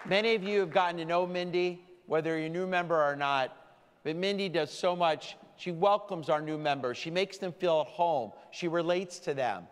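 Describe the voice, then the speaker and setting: A male rabbi addresses his congregation with a slightly high voice, leaning into key phrases with slow, deliberate emphasis. His words ring out with a long reverb tail from a large sanctuary, picked up on a stand mic. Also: clear, resonant tone, some chatter behind. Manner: warm, deliberate preaching with slowed emphasis. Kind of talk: sermon to a congregation. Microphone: stand mic from a far camera.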